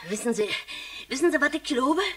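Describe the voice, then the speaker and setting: A woman's voice: sits high, animated, fairly quick, a bit bright. A woman playing a feisty, blunt, plain-spoken cook in a 1960s radio play. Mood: indignant. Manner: blunt, combative.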